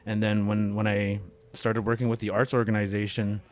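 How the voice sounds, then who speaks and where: A middle-aged man from Canada talks as a podcast guest in a cafe, his voice sitting low. He rambles, restarting sentences and padding them with fillers. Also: little pitch movement, moderate pace, a little mellow. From Canada, born in Winnipeg. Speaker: guest, comedian and beatmaker. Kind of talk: casual interview conversation.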